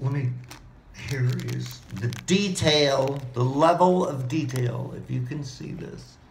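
A middle-aged man who is hoarse and losing his voice, rambling excitedly from topic to topic in a home video, talking into a desk mic on a boom arm in his living room. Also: a little hiss or hum. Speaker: vlogger. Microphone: desk mic on a boom arm, webcam framing. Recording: roomy home echo.

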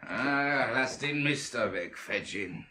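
An actor playing a rough, sardonic, domineering criminal in a 1950s German radio play, blunt and mocking. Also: male voice, medium pitch, lively intonation, takes it slowly, background noise.

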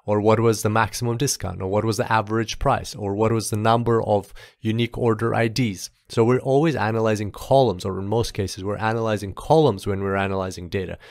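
Male tutorial instructor, lowish pitch, informal step-by-step delivery, dry close recording. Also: fairly even intonation, a little fast, hardly any pauses, dry recording. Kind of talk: explanatory screencast tutorial narration.

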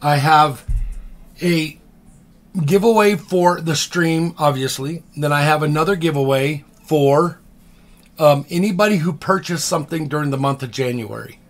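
A middle-aged man with a slightly high voice talks casually on a live stream from his home office. He rambles in a relaxed way, full of ums, as he walks viewers through items for sale. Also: moderate pace. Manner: chatty, relaxed, many ums. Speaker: coin dealer host. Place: home office with coin displays.

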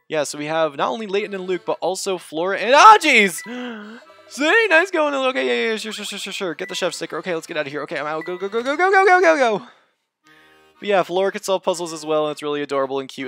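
A man hosting a puzzle game walkthrough, reading hints aloud and gushing excitedly over the characters. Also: high-pitched. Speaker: let's-play host. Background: game music.

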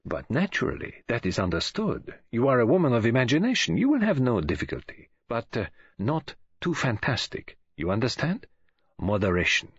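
A man voicing a courteous older male detective in an audiobook, speaking formally, precisely and with deliberate care. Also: medium pitch, huge pitch swings, slightly rough, no room sound, dull audio. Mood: gently cautioning. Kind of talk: audiobook character dialogue.

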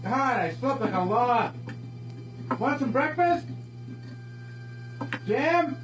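An actor playing an eerie remembered voice in a radio horror drama. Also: male voice, very high voice, animated, takes it slowly, exaggerated voice. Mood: dreamy. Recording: echoing, dull, music behind.

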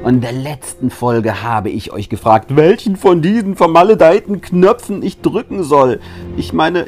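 A man's voice: fairly high pitch, darkish tone. Male host, theatrical story reader, very varied intonation, prominent music underneath. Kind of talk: dramatic storytelling for an interactive story.

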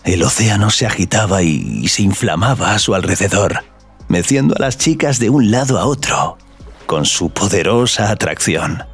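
A man's voice: fairly low pitch, animated, moderate pace, slightly rough, soft background music. A man narrating a scripted audio story.

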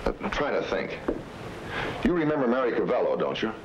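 An actor playing a tough, insistent police detective in a 1950s TV crime drama, with a terse, authoritative way of talking. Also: male voice, mid-range voice, very animated, fairly quick, lightly acted. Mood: pressing. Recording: muffled, noisy old TV soundtrack.

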